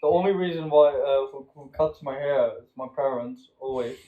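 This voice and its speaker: A man with a medium-pitched voice talks casually, as if out with a friend. He is plain-spoken and earnest, and his phrasing comes out slightly awkward. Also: normal speed, narrow, tinny audio. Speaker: friend and co-star in vlog. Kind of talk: casual chat.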